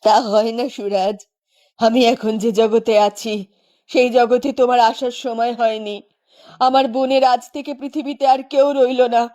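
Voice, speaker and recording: Woman as sad young ghost girl in a cartoon, high voice, mournful and earnest. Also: fairly even intonation, normal speed, warm tone, close to an everyday voice. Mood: solemn pleading. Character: sorrowful ghost of a murdered young village woman. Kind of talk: melodramatic cartoon voice acting.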